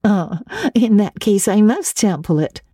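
A woman voicing a merry, kindly minister's wife in a storybook, gentle and reassuring. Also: mid-range voice, a little slow, breathy edge, no room sound, studio quality, voice pushed up.

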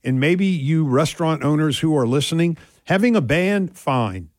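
A man's voice: fairly high pitch, lively intonation, normal speed, darkish tone, no room sound. A man from southern Louisiana co-hosting a casual radio show in a strong voice, rambling and folksy as he gives his opinions on a close studio mic. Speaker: radio talk show co-host. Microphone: close studio microphone.

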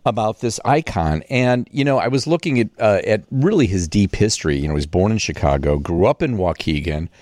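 Animated low-voiced male radio host. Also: fairly quick, few pauses, dry recording. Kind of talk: scripted introduction, then conversational interview.

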